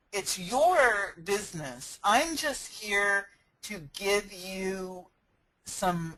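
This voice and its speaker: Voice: medium pitch, fairly bright tone, rough recording. An online business coach talking casually in a live question-and-answer session, earnest and personal, rambling with frequent restarts and fillers.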